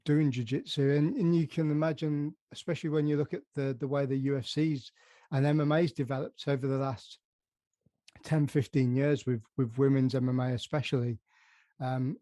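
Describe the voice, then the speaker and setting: A man's voice: mid-range voice, fairly even intonation, normal speed, mellow, no room sound. A man hosting a podcast, asking long, rambling questions with many restarts. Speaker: podcast host. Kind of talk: podcast interview.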